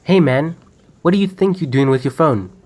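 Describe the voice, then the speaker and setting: A man's voice: fairly high pitch, normal speed, darkish tone, close to an everyday voice. A young man acting a commanding, impatient armed bank robber in an amateur radio drama. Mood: menacing. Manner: commanding, curt. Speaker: student actor.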